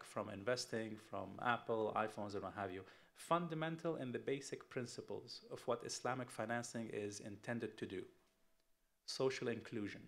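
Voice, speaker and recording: A middle-aged man from Tunisia, an investment firm chief speaking on a conference panel, emphatic, asking rhetorical questions with frequent fillers. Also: mid-range voice.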